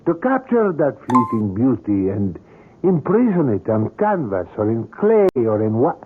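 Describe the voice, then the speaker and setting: A man's voice: medium pitch, very animated, unhurried, soft background music. An actor playing an older, courteous and obsessive male sculptor in a radio drama, with formal, florid and precise phrasing. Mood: fervent, wistful. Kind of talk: old-time radio drama acting. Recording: muffled vintage radio drama recording.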